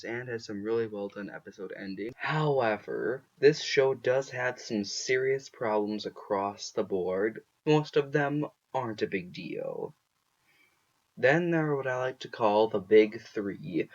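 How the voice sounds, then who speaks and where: A man's voice: mid-range voice, lo-fi sound, a little hiss or hum. A man narrating a scripted video review in a critical, emphatic manner.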